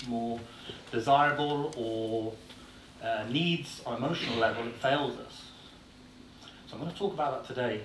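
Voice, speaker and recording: A man's voice: medium pitch, fairly bright tone. An Irish-born man with an English accent gives a blunt, informal talk, peppering it with "uh"s. His voice sits in a middle range. It rings around an echoey hall from a distant mic, over a faint background hum. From Ireland. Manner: blunt, informal, with frequent "uh" fillers. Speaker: conference speaker. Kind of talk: conference presentation. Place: conference hall.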